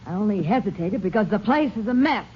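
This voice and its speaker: A woman's voice: mid-range voice, lively intonation, fast, lightly acted. Actress doing a cagey defensive woman, old muffled radio drama sound, bit of hiss. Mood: guarded. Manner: guarded, defensive. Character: nervous evasive woman hiding a fugitive. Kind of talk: 1950s radio drama acting. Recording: noisy dull old radio recording.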